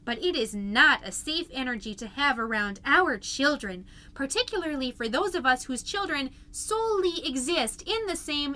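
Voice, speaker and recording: A woman's voice: fairly high pitch, pushed and bright. A woman voicing an earnest, mystical new-age mom with florid, solemn delivery, reading a letter in a comedy podcast. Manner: florid, portentous, formal.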